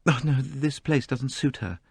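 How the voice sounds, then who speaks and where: A man's voice: mid-range voice, a little slow, husky, dry recording, voice pushed up. A man voicing a sulky, bitter young woman who talks in a clipped, sullen way.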